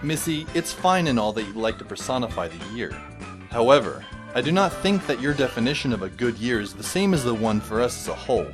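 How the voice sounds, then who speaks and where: A man's voice: medium pitch, big pitch swings, brisk, music in the background. Sardonic male narrator. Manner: dry, sardonic. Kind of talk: first-person audio drama narration.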